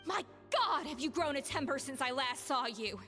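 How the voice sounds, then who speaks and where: A woman voicing a composed, proud young chef in an anime game, formal and firm, with a sharp tongue. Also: sits high, lively intonation, moderate pace. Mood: scathing. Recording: long reverb, music behind.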